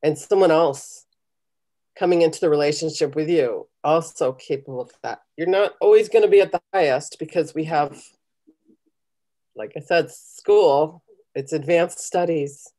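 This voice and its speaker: A middle-aged woman with a low, mellow voice talks on a video call. She coaches conversationally and gives encouraging, step-by-step meditation instructions. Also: normal speed. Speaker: guest leading a guided meditation. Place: small home room, indoors. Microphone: computer video call.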